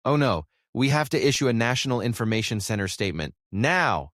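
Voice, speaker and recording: A man's voice: mid-range voice, sing-song, brisk, a bit bright, no room sound, clean studio sound. A man playing an alarmed government official urging action in an analog horror drama.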